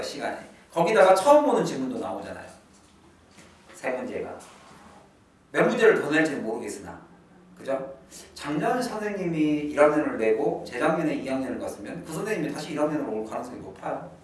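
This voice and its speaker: A middle-aged man lectures on exam prep in an academy classroom, his voice sitting a little high and swinging widely in pitch. He punches key points, then sinks to quiet murmured asides. The sound carries a heavy room echo, picked up from some distance with a faint hiss behind it. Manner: lively, emphatic, drops to a low murmur for asides. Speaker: English teacher.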